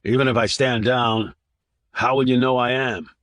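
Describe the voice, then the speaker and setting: A man's voice: medium pitch, fairly even intonation, normal speed, fairly bright tone, no room sound, natural voice. A man voicing a desperate, grieving father who speaks bitterly and pleadingly. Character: desperate, grieving father whose son is kidnapped. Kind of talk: audiobook narration.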